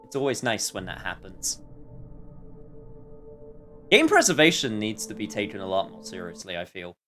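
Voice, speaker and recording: A man's voice: on the low side, huge pitch swings, normal speed, fairly bright tone, faint music behind. A man giving casual live gameplay commentary as a streamer, rambling and searching for his words. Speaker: game streamer.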